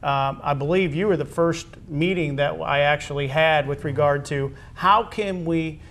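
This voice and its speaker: A man speaking as a high school athletic director, hosting a conversational interview in a halting, wordy way. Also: fairly bright tone.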